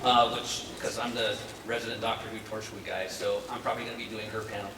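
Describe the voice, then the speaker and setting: A man's voice: on the low side, level tone, fast talker. A man casually hosting a convention panel as its moderator, in a joking style. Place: large indoor convention panel room. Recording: echoey hall, distant, loud crowd.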